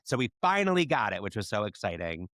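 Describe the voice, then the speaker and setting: A man's voice: lowish voice, big pitch swings, fast talker, fairly bright tone, no echo, clean studio sound. A man telling stories in casual podcast chat as one of the hosts. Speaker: podcast host.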